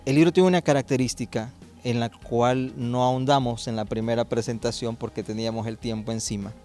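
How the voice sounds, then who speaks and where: A man's voice: mid-range voice, fairly even intonation, brisk, darkish tone, soft background music. A man presenting and asking questions as an interviewer in a book conversation.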